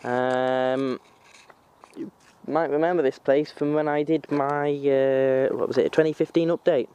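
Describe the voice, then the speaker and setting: A young man with a slight Manchester accent talks to camera outdoors, his pitch sitting in the middle range. He rambles in an informal way and keeps correcting himself as he goes. The style is a loose, casual vlog recorded on a handheld camera. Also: steady tone, a little slow, dark tone, clear, resonant tone. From North Wales. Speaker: vlogger.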